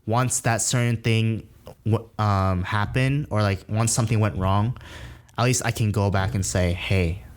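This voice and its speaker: Young male podcast host, fairly low voice, informal and conversational. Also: steady tone, unhurried. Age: young adult. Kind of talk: casual chat.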